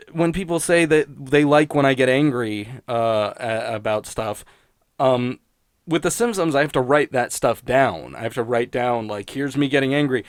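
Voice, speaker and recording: A man hosting a podcast in casual, improvised rambling, with a sardonic, profane and self-mocking way of talking. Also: mid-range voice. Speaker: podcast host.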